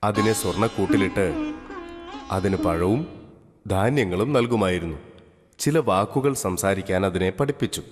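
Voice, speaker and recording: Male children's story narrator: fairly deep, reverberant, over clear background music. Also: measured pace, pauses often. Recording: long hall reverb, music bed.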